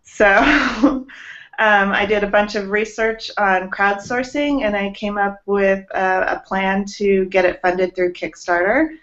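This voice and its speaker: A woman's voice: mid-range voice, fairly even intonation, slow pace, a bit bright. A woman in her forties from Los Angeles, a guest artist chatting casually in an interview with many ums and laughs, on a video call from her home studio. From Los Angeles, USA. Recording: muffled video call audio.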